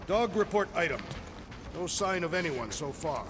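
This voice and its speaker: A man playing a proud, literal-minded alien warrior in a video game, speaking formally and emphatically with deadpan earnestness. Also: on the high side, normal speed, noisy background, natural voice. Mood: deadpan earnest.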